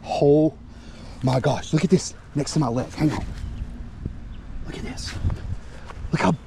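A young man vlogging while fishing outdoors by the water, excitable and quick to laugh. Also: on the high side, creaky, noisy background. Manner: excitable, shouting and laughing at catches.

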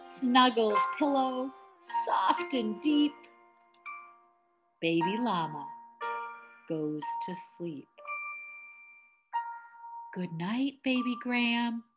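Slow storytelling grandmother over music. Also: fairly high pitch, animated. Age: middle-aged to older. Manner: deliberate, drawn-out, dramatic emphasis on key words. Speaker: grandmother reading a bedtime story. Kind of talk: expressive children's picture book read-aloud. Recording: music playing behind the voice.

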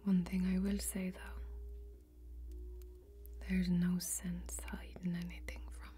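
A young woman playing a gentle, wistful warrior in an ASMR fantasy role-play, speaking in a slow, breathy, hushed and intimate way with long pauses. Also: on the low side, completely flat delivery, soft background music. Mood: hushed, confiding. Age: young adult. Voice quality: breathy, whispery.

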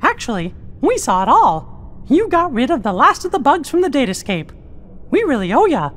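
Small kindly mouse leader for a cartoon game. High, squeaky falsetto, chipper and animated. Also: male voice, moderate pace, heavily acted. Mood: cheerful. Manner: gentle, upbeat. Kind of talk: video game cartoon acting. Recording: game music underneath.

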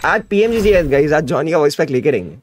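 A man's voice: on the high side, fast talker, slight background noise. A man in his twenties with an Indian accent, chatting casually on a gaming livestream, repeating himself in slangy hype. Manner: repetitive, animated slangy hype. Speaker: gaming streamer. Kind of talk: casual excited livestream chat. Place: indoor streaming setup at a desk.

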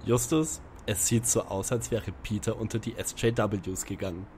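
A man's voice: lowish voice, steady tone, normal speed, darkish tone, a little hiss or hum, natural voice. A man playing a confused, grumbling young detective in a parody audio drama. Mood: groggy, puzzled.

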